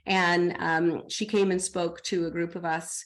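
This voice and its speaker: A middle-aged woman coach talking in a podcast interview, animated, acting out her own inner dialogue. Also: lowish voice, unhurried.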